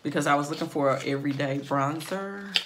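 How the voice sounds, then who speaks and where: A chatty woman talks viewers through her makeup in a low, slightly raspy voice. She rambles easily, full of fillers and friendly asides. Faint noise sits behind her. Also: fairly quick. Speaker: beauty vlogger. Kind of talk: casual makeup tutorial chat.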